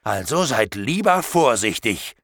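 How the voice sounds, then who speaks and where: A man voicing a furious young male captor who shouts threats at his prisoners. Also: mid-range voice, very animated, unhurried, vocal fry, dry recording, studio quality, voice pushed up, heavily acted. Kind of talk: audiobook reading.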